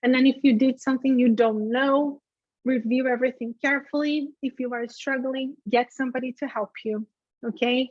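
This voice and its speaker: A woman's voice: a little high, a little mellow, no room sound. A woman who is a native Portuguese speaker, presenting an informal instructional webinar in a conversational, emphatic way, often checking in with listeners. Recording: dull, lo-fi webinar audio.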